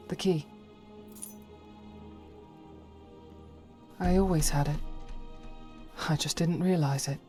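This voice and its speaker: Devout warrior woman, slow and solemn. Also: low-pitched, warm tone. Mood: grave, resolved. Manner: solemn, earnest, hesitant and halting. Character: devout, troubled warrior woman, a fantasy follower. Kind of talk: dramatic fantasy game voice acting.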